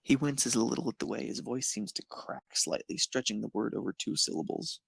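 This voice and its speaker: Male narrator for fan fiction, mid-pitched with a rough, creaky edge, scripted read. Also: sing-song, brisk, fairly bright tone, no room sound.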